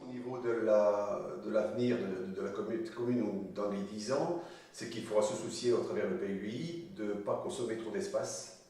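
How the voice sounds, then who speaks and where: A man's voice: lowish voice, moderate pace, warm tone. A man giving a spontaneous answer in an interview. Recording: very echoey, slightly distant mic. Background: faint music.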